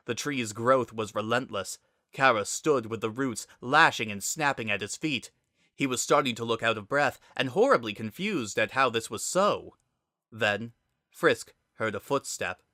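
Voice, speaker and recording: A man's voice: medium pitch, bright, forceful tone, dry recording, clean studio sound. A man narrating a scripted story in a dramatic reading style.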